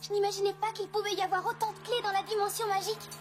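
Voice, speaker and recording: A woman voicing a tiny, excitable female pixie in an animated cartoon, with theatrical, exclamatory delivery. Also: very high voice, fast. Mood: eager, proud. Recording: loud music under the dialogue.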